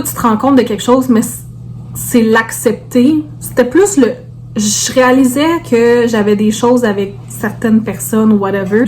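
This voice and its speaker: Woman, Québécois French accent, casual vlog chat, mid-range pitch, informal with English words mixed in, faint noisy hum behind. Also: a little mellow. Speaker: vlogger.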